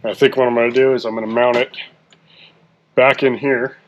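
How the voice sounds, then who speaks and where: A man with an ordinary mid-range voice narrates his build casually, pausing with relaxed fillers as he thinks aloud. Faint background hiss sits under his voice. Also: medium pitch, muffled recording. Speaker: vlog host.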